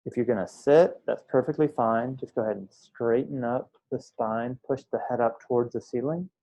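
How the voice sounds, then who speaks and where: Man leading a slow guided meditation, muffled recording. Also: medium pitch. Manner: unhurried, pausing between short phrases. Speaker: meditation teacher.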